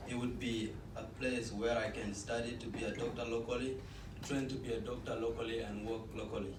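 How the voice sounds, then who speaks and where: An eighteen-year-old man from South Sudan, a student cultural ambassador, reading an earnest personal statement with small restarts. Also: medium pitch. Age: teens. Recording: echoey room, distant mic, noisy.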